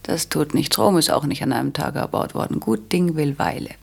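An actress playing a shrewd, coolly ambitious young bride in a radio play, speaking in a husky, breathy voice. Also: female voice, low voice, lively intonation, fairly quick, exaggerated voice. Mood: cool, calculating. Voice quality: husky, breathy, near-whispered. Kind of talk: literary radio play acting.

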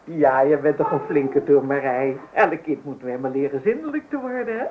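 An older grandmother in a radio play, fussing and clucking with reproach. Her delivery is lively and swooping. The sound is tinny and narrow, with clear noise over it. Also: deep voice, normal speed. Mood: doting. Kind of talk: radio drama acting. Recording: tinny, narrow-band, noisy old radio recording.

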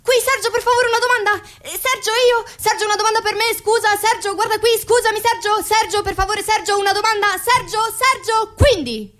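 Woman doing a pushy eager reporter, really high voice, insistent, radio satire. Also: a little fast, hardly any pauses, a bit bright. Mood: clamouring, pleading. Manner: insistent, calling out repeatedly. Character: eager, pushy reporter clamouring at a press conference.

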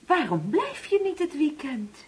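A woman playing an anxious, hospitable wife and mother in a Dutch radio play, expressive and coaxing. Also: sits high, a little slow. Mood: warm, coaxing.

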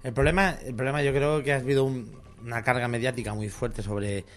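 A man's voice: medium pitch, steady tone, a little fast, soft background music. A man speaking as a comedian guest on a casual comedy talk show, making wry asides with chuckles and restarting his sentences. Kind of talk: casual comedy radio chat.

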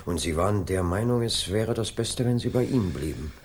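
An actor playing a probing, persistent middle-aged police inspector in a German radio drama. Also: male voice, lowish voice, lively intonation, normal speed, darkish tone, natural voice. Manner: inquisitive, insistent questioning.

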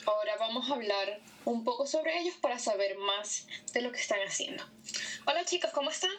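Woman interviewer, higher voice, slightly distant. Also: lively intonation, a little fast, fairly bright tone. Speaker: radio host interviewing a band. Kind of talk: casual interview.

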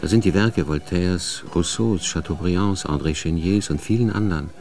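A man acting as the narrator of a radio drama, setting historical scenes in a measured, factual manner. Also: sits low, darkish tone, faint music behind. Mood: factual, descriptive.

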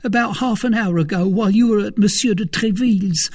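A man voicing a frightened, excitable young male servant who talks eagerly and breathlessly, with a husky voice. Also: high-pitched, fairly quick, voice pushed up. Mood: anxious eager.

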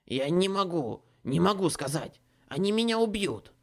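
A man's voice: on the high side, big pitch swings, normal speed, a bit bright, pitched above the performer's own voice. A man voicing a cowardly young changeling who whines and pleads in a panic, read as an audiobook character. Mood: panicked pleading.

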